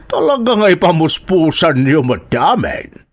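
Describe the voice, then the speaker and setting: A man's voice: fairly high pitch, very animated, a little slow. An actor with a Filipino accent playing a scornful, blunt male relative in a radio drama, speaking in a taunting way. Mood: needling. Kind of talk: Ilocano radio-style drama acting.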